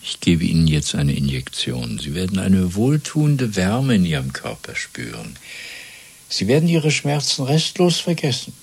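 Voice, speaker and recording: A cold male interrogator in a radio drama, deep and very husky with a gravelly edge. He speaks deliberately and patronisingly, swinging widely from soft whispers to hard commands with fanatical certainty. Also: wildly swooping pitch, no room sound, heavily acted. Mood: smooth, superior. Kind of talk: 1970s German radio play acting.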